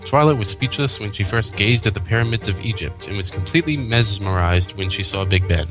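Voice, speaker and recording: A man's voice: on the low side, expressive, a little fast, rough recording. A man co-hosting and narrating a fan fiction story in a read-aloud style. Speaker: co-host, narrator. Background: background music.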